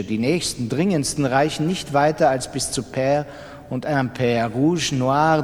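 An actor playing a stern gentleman in a literary radio drama, reproaching a gambler in an insistent, deliberate, rhetorical way. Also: medium pitch, slight background noise, lightly acted. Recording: reverberant hall.

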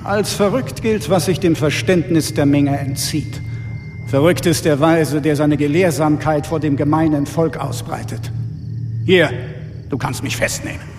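An actor playing a haughty, fanatical intellectual villain in a radio drama, speaking in a pompous, preaching way. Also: male voice, a little high, steady tone, measured pace, darkish tone, lightly acted. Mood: haughty resignation. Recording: long reverb, faint music behind.

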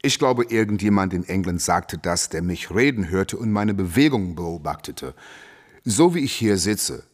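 An actor playing a proud, defiant champion boxer who boasts and throws questions back in a radio play interview. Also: male voice, sits low, expressive, moderate pace. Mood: boastful.

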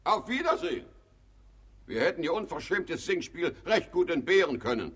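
An actor playing a grumpy, domineering middle-aged hypochondriac father in a German comedy radio play, blustering and imperious with a husky voice. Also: on the high side, very animated, moderate pace, a bit bright, full character voice. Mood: indignant.

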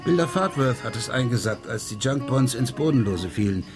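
An actor playing an unruffled, worldly middle-aged former policeman, talking calmly and wryly in a radio crime drama. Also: mid-range voice, normal speed, over music, natural voice. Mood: calmly explaining. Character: unruffled former Amsterdam policeman living abroad, worldly and wry.

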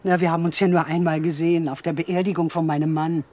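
An actress playing a plain-spoken, resigned elderly widow in a radio drama. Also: female voice, deep voice, flat delivery, quick pace, a little mellow. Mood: resigned, plaintive. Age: older.

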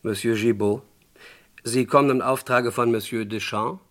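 A man's voice: medium pitch, fairly even intonation, normal speed, a bit bright, lightly acted. Man playing discreet, formal company official. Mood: politely evasive. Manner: formal, courteous, reserved. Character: discreet, politely unhelpful male company official. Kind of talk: German radio crime play acting.

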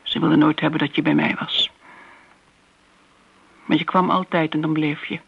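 A woman's voice: deep voice, level tone, moderate pace, creaky, slightly rough, heavily acted. An actress playing a wounded, possessive older woman in a radio play, speaking in a grave and wistful way, like a second mother. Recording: muffled old radio recording.

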